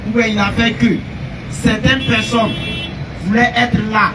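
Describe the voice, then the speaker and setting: High-voiced man preaching over traffic. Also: level tone, recorded from a distance. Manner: slow, halting exhortation with everyday examples.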